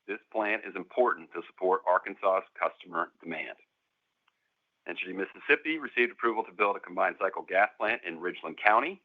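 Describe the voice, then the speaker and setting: A man with a fairly low voice comes through a narrow, phone-like line. He reads scripted corporate remarks in a formal, measured manner, as an executive addressing investors on a conference call. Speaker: company executive presenting.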